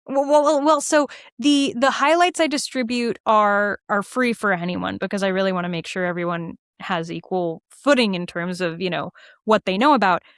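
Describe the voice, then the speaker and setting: Lady guesting on a podcast, animated and chatty, crisp voice, no room echo. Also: medium pitch, moderate pace, studio quality. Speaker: podcast guest, musical theater writer and performer. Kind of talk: casual interview chat.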